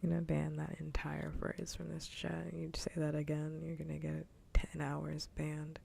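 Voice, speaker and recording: A woman speaks in a soft, breathy whisper, as in a casual ASMR livestream. Her voice sits low and is very dark and mellow. She teases in a playful, mock-stern way, over a faint rumbling noise. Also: completely flat delivery, moderate pace. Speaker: livestream host. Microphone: close ASMR microphone.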